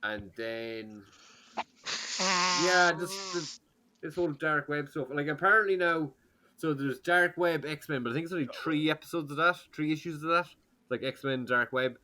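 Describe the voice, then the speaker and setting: A man's voice: fairly high pitch, normal speed, sharp tone, a little hiss or hum. A man with an Irish accent, chatting loosely on a podcast with lots of fillers and restarts. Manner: rambling, hesitant, with many fillers. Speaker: podcast co-host. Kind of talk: casual chat.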